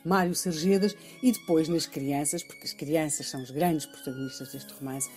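A woman presenter with a low, dark voice and a European Portuguese accent. She explains with emphasis, repeating key phrases as in a radio documentary, over faint music. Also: normal speed.

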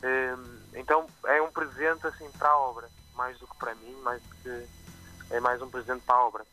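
Bright-voiced Porto musician. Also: male voice, medium pitch, brisk, rough recording. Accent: Porto Portuguese with some Brazilian expressions. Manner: relaxed, chatty, with frequent fillers and restarts. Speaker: musician guest. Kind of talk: radio interview. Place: remote from his own location, on live radio.

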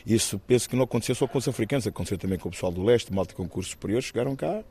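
50s guy from guinea-bissau, quick halting talk, lots of restarts, low mellow voice. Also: a little hiss or hum. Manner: thoughtful, halting with many restarts and fillers. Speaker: interviewee, actor and musician. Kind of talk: reflective personal interview.

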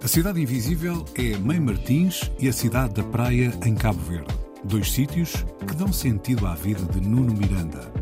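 A man reads a scripted introduction, his voice rising and falling with lively intonation. Clear music plays beneath the narration. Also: medium pitch, normal speed. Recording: music bed under voice.

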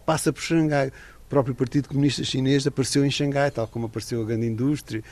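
A man's voice: medium pitch, fairly even intonation, quick pace, a little mellow. A middle-aged man, an author and former correspondent, talking in a radio interview in a thoughtful, digressive way with many restarts.

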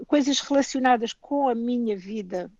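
A woman's voice: fairly high pitch, normal speed, darkish tone, no echo. An older woman from Portugal with a European Portuguese accent, a guest speaking thoughtfully with frequent restarts and asides in a remote interview. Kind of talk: remote interview, reflective conversation. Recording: dull lo-fi remote line.

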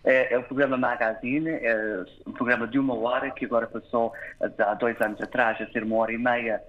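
A man from Canada, born in Toronto, answering interview questions hesitantly, with many filled pauses and restarts. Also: mid-range voice, level tone, narrow, tinny audio. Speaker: guest.

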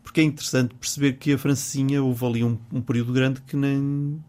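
A man's voice: mid-range voice, level tone, quick pace, warm tone. A man from Porto with a northern Portuguese accent and a mid-range voice, a guest chatting on the radio. He talks in a hesitant, friendly way, repeating words as he searches for them and laughing easily. He is recorded on a studio microphone. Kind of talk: casual interview chat.

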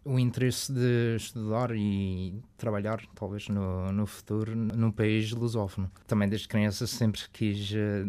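A man's voice: on the low side, darkish tone. A young man from Canada with a Madeiran Portuguese accent, a student answering interview questions hesitantly, with many restarts. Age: young adult.

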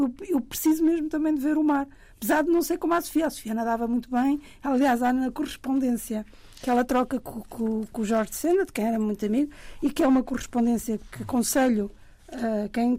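A woman's voice: high-pitched, dark tone. A woman writer with a European Portuguese accent, chatting reflectively and self-deprecatingly, often restarting her sentences. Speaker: writer and panel guest. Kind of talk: casual conversation.

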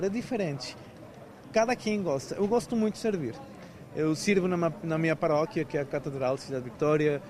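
A man's voice: on the high side, a little mellow. A man of about thirty from Mexico, a native Spanish speaker with a Brazilian-style Portuguese accent, chatting as a volunteer in an interview with many fillers and restarts. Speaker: event volunteer. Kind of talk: casual interview answers.

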